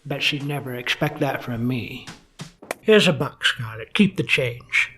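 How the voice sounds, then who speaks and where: An actor playing a gloomy, hard-drinking 1950s police detective, narrating a noir radio drama with wry self-mockery. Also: male voice, mid-range voice, expressive, unhurried, sharp tone, recorded from a distance, a little hiss or hum. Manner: brooding, wry.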